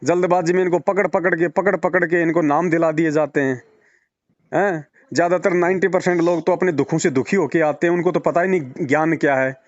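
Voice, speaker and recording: A man's voice: on the high side, steady tone, brisk, no room sound. A man arguing persuasively on a phone call, firing rhetorical questions and repeating words for emphasis. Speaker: religious devotee speaking to a follower. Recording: dull lo-fi phone call recording.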